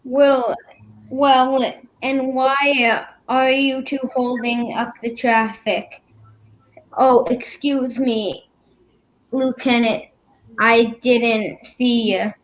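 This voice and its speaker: A woman puts on a bumbling policeman for an old-time radio comedy. The delivery is very slow and halting, in a fairly high voice. The cop sounds official and suspicious, tripping over words. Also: little pitch movement, poor audio. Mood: flustered deferential.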